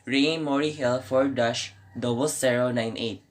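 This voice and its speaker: An extremely deep-voiced, formal telephone operator, played by a woman in an amateur radio drama. The delivery is polite, procedural and somewhat stiff, as if reciting. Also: fairly even intonation, moderate pace, heavy background noise. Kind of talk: amateur school radio play acting.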